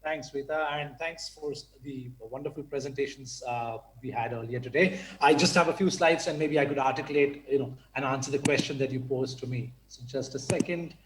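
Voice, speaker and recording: A man's voice: mid-range voice, brisk, few pauses, reverberant, a little hiss or hum, low-quality recording. A man from India speaking as a panelist in an online forum, talking casually with many fillers. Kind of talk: online panel talk with slides. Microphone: video call.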